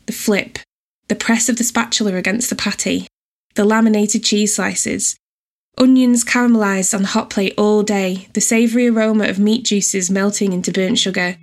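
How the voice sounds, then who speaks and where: A woman reads a scripted personal essay in a measured, descriptive storytelling manner. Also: mid-range voice. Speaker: writer and editor reading own essay.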